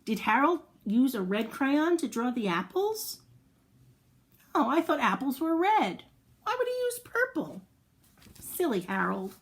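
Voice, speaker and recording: High-voiced woman reading to children. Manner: playful asides and questions to young listeners; expressive, with sound effects and exclamations. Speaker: children's storyteller. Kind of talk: animated picture-book read-aloud for young children.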